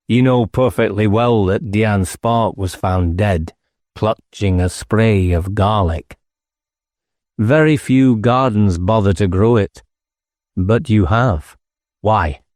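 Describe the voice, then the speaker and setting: A man's voice: lowish voice, a little mellow, no room sound, clean studio sound. A man voicing a dogged, blunt police inspector in an audiobook, probing in a pointed, formal way. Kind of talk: audiobook character dialogue.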